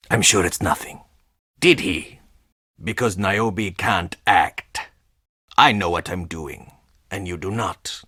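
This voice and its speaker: An actor playing a menacing, world-weary man in a video game, terse and deadpan. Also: fairly low pitch, slightly rough, no echo, clean studio sound. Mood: cold, guarded. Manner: terse, slow, ominous, deadpan. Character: menacing, world-weary male stagehand guarding a theatrical underworld. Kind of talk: video game character dialogue.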